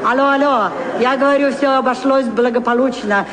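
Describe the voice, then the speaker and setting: An actress playing a brassy, wisecracking madame who hosts a seedy cabaret revue and banters with the audience in a theatrical, self-mocking way. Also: female voice, high-pitched, steady tone, brisk, a crowd in the background, lightly acted. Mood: teasing, joking. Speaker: cabaret emcee. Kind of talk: theatrical radio drama comic monologue. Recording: background music and audience noise.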